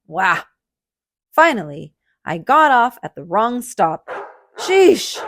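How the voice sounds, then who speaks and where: A woman reading a picture book aloud for children in a dramatic, expressive storytelling manner. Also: medium pitch.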